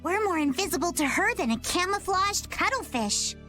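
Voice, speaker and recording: A woman's voice: extremely high pitch, lively intonation, moderate pace, pushed and bright, faint music behind, full character voice. An actress playing a quirky, cheerful little pirate girl in a video game, given to quaint nautical phrasing. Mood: plucky. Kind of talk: animated video game acting.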